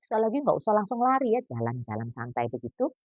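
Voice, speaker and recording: A woman's voice: medium pitch, fast talker, no room sound. A woman in her fifties, a doctor, giving pregnancy exercise advice in a chatty, joking way. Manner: chatty, jokey, lively explaining with asides. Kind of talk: casual health talk. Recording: dull lo-fi.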